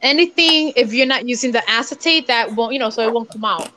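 A woman's voice: on the high side, fairly quick, pushed and bright, a little hiss or hum. A woman from Puerto Rico with a Puerto Rican accent, co-hosting a live craft session over a video call, chatting casually and poking fun at herself. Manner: chatty, self-mocking, laughs often. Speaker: craft show co-host. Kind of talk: casual chat while crafting. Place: home craft table, indoors.

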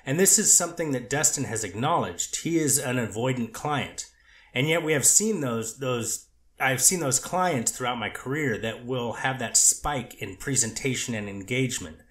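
A man's voice: medium pitch, normal speed, a bit bright. A man with a mid-range voice gives testimony in an echoey courtroom. He answers carefully and measuredly, qualifying his statements and restarting to choose his words. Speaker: treatment clinician testifying as witness.

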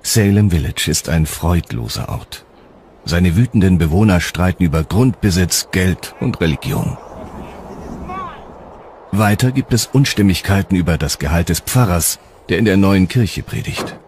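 Very deep male documentary narrator. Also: lively intonation, darkish tone, a little hiss or hum. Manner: measured, serious. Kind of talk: scripted narration, historical documentary. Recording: music underneath.

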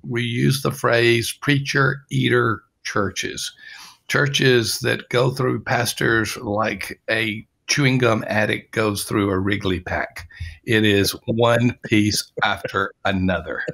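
An older man hosting a casual podcast over a video call, measured and affirming with a wry touch. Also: fairly low pitch, fairly even intonation, creaky, a bit bright, low-quality recording. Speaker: podcast host. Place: home study, indoors.

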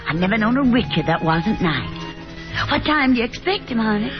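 A woman's voice: a little high, sing-song, moderate pace. An actress in a 1950s radio drama playing a fussy, proud, middle-aged mother with a fluttery, chatty way of talking. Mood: fussing, excited. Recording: dull vintage radio recording with music.

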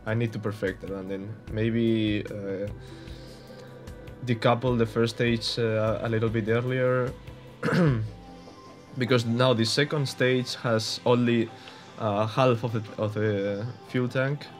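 A man's voice: mid-range voice, fairly even intonation, slow pace, faint music behind. A young man from Spain with a Spanish accent, giving casual, hesitant commentary over gameplay with many fillers and restarts. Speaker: gaming YouTuber.

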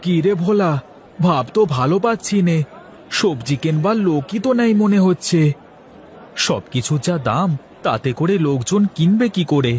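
Man playing a worried, higher-voiced vegetable seller. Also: lively intonation, normal speed, close to an everyday voice. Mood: anxious. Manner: pleading, deferential, plain-spoken. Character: worried, humble male village vegetable seller. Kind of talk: cartoon character dialogue.

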